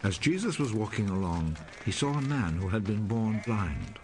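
A man reading scripted film narration in a measured way. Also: fairly low pitch, very animated. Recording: loud crowd and noise behind.

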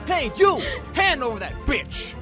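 A man's voice: extremely high pitch, very animated, measured pace, over music, full character voice. An actor playing a bitter, vengeful farm worker in a comic dub, agitated and aggrieved in his delivery. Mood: aggressive, panicked. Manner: agitated, aggrieved, forceful outbursts.

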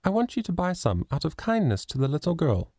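An eager little girl who chatters and pleads in a raised, lively voice, as a man reading a storybook puts her on. The sound is close and dry, with no room around it. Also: on the high side, brisk, no echo, voice pushed up. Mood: eager pleading. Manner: pleading, excited.

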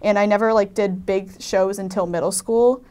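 A woman's voice: mid-range voice, fairly even intonation, moderate pace, dark tone, clear, resonant tone. Teenage girl answering in a casual interview. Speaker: student actor being interviewed. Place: indoor panel, seated group.

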